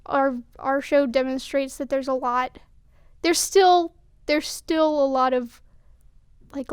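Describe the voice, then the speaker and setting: A young woman, an actor, talking reflectively in an interview in a halting, thoughtful way. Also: high voice, clear, resonant tone, no echo, clean studio sound. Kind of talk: reflective interview talk about a production.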